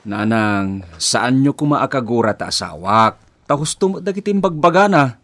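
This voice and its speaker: Actor as an animated, pleading Ilocano husband. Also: male voice, medium pitch, normal speed, close to an everyday voice. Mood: reproachful. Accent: Filipino, Ilocano. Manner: questioning, pleading. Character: bewildered husband caught between wife and mother. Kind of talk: radio drama acting.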